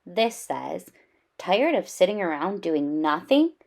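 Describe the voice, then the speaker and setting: A woman's voice: lowish voice, sing-song, slow pace, vocal fry, darkish tone, heavily acted. A woman voicing a kindly, patient mother bear in a children's storybook, with a coaxing and encouraging manner. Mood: reading aloud enticingly.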